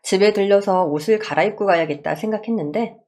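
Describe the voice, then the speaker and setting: A woman's voice: mid-range voice, fast talker, darkish tone. A woman reading a scripted first-person story as a radio drama narrator.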